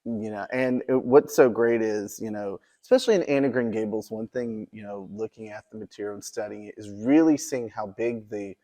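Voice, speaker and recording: A man's voice: on the low side, normal speed, hardly any pauses, warm tone. A man interviewing a guest in a conversational, promotional style, speaking with effusive enthusiasm as the host. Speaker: interviewer, museum event host.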